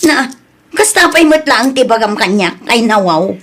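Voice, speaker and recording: High-pitched actress as young woman vendor, Ilocano accent, defensive, plaintive, insistent, comedy-drama dialogue. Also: animated, moderate pace, fairly bright tone. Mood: defensive, stressed. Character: stressed, defensive young woman food vendor named Francia.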